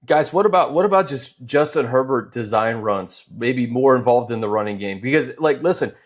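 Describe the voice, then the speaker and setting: A man's voice: mid-range voice, expressive, moderate pace. An American man hosting a casual sports talk show, posing points to his fellow analysts. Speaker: show host.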